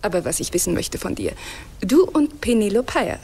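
A Greek goddess in an ironic radio comedy, her voice of middling pitch. She is brainy, curious and a little vain, and she talks in a breezy, needling, playful manner. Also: huge pitch swings, moderate pace, warm tone, slight background noise. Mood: gossipy, coy. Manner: playful, colloquial, teasing. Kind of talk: ironic radio play dialogue.

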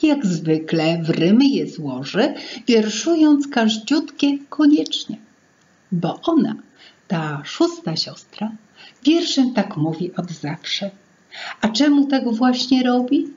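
A middle-aged woman reading her own fairy tale to children in an expressive, theatrical singsong way. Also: on the high side, takes it slowly. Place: small indoor room at home. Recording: dull lo-fi sound, music behind. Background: music.

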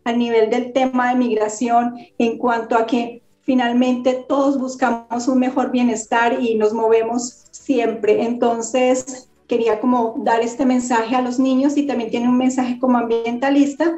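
A woman's voice: a little high, flat delivery, a little slow, few pauses, warm tone. A woman writer from Colombia with a Bogotá accent, speaking earnestly and reflectively as an interview guest over a video call. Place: home room indoors. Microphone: laptop mic on video call. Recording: roomy lo-fi video call audio.

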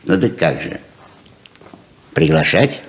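A man's voice: extremely low pitch, expressive, takes it slowly, heavily acted. An actor playing a stubborn, loving middle-aged father in a 1970s Soviet radio play, emphatic and persuasive, moving between tenderness and insistence. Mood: gently probing. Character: coaxing, stubborn, loving father of grown children. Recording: roomy 1970s radio drama recording.

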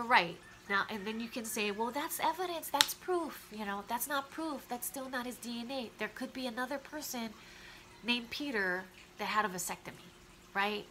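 A woman's voice: medium pitch, sharp tone, a little hiss or hum, poor audio. Chatty, stuffy-nosed woman vlogging from home. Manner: chatty, opinionated, talks straight to viewers. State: stuffy nose. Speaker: true crime vlogger. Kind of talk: casual true crime chat to camera. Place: living room at home, indoors. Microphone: camera close in front of her.

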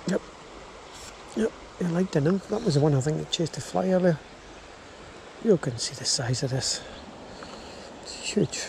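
A man with a slightly high, creaky voice gives casual first-person fishing commentary at a slow pace. He murmurs along and breaks into exclamations. It is recorded on an action camera outdoors, with clear river noise around him. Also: animated, darkish tone. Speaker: angler and vlogger. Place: outdoors, wading in a small river. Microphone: body-worn action camera. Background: running river water.

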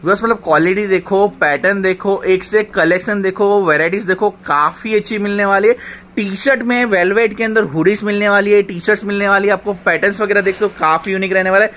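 A man's voice: sits high, steady tone, a little fast, fairly bright tone. A man vlogging inside a wholesale clothing shop, repeating upbeat praise of the stock in casual promotional chatter. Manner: repetitive enthusiastic praise. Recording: noisy shop floor.

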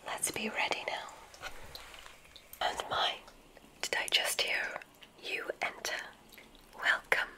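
A woman playing a gracious, whimsical witch who runs a magic school, in a genteel, breathy ASMR whisper. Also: close to an everyday voice, low-quality recording. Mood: welcoming, theatrical. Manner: genteel, unhurried, welcoming. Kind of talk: ASMR medical roleplay.

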